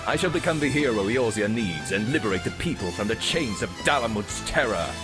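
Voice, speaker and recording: A man acting a vain, flamboyant gentleman hero in a game fan dub, with pompous, theatrical and grandiloquent delivery. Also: fairly low pitch, big pitch swings, fairly quick, over music. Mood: solemn, grand.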